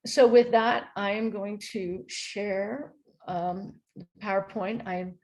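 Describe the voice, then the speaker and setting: A woman from the United States with an American accent, giving a conference panel talk as a philosophy professor, friendly and halting, with many ums and restarts. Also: medium pitch, fairly even intonation, darkish tone.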